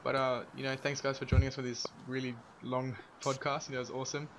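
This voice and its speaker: A man's voice: medium pitch, level tone, quick pace, a bit bright. Laid-back man hosting over noisy audio. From Australia. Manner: laid-back, filler-heavy, laughs often. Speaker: podcast host. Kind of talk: casual group chat.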